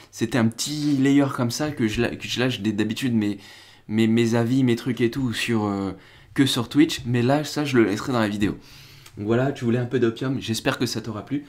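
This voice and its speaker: Casual French-speaking crypto streamer, young man. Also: mid-range voice. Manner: rambling, restarts and fillers, chats with viewers. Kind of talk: casual live-stream commentary.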